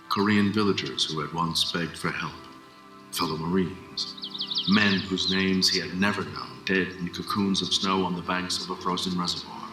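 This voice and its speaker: A man narrating an audio drama in a measured, solemn storytelling style. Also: low voice, pushed and bright. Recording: roomy sound with music behind.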